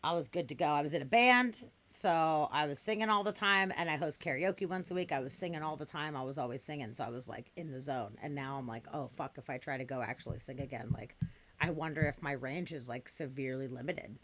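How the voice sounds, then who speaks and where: A woman in her thirties with a raspy, smoker's edge to her voice, which still comes across bright and forceful. She talks in a low register, chatting bluntly and swearing freely as she tells animated stories. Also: a little fast. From US West Coast. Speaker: podcast guest. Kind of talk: casual chat.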